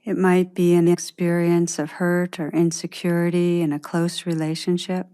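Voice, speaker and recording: A woman leading a guided meditation in a gentle, unhurried manner, leaving long pauses between phrases. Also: lowish voice, completely flat delivery, smooth.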